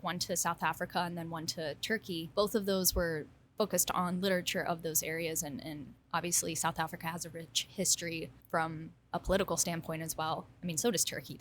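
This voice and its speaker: Low-voiced woman, casual and self-deprecating. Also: a little hiss or hum. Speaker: kombucha brewer and engineering alumna. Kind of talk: conversational interview answers. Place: brewery.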